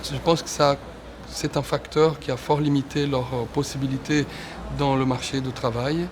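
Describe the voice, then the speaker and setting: A man's voice: mid-range voice, level tone, normal speed, background noise. Hesitant man. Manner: hesitant, many fillers. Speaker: expert interviewee. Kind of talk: documentary interview.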